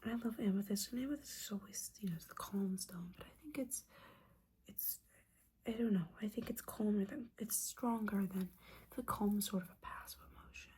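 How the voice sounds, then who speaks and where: A woman playing a gently judging, caring witch mentor in an ASMR roleplay, whispering soothingly and conversationally. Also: medium pitch, moderate pace, slight background noise, close to an everyday voice. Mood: gently coaxing. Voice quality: breathy whisper.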